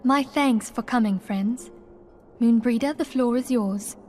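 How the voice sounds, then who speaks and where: A woman's voice: fairly high pitch, a little slow, darkish tone, soft background music, close to an everyday voice. An actress playing a composed, earnest woman who leads an order, speaking formally and solemnly in a fantasy game. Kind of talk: scripted fantasy game dialogue.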